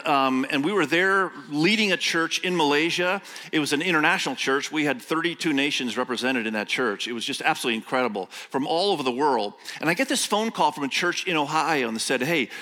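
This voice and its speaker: A middle-aged man who grew up in Indonesia, with Indonesian as his first language, preaching a sermon as a guest pastor, telling stories and reading scripture slowly with emphasis. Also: on the high side, sharp tone. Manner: storytelling, slow emphatic scripture reading, quick asides. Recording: long church hall reverb.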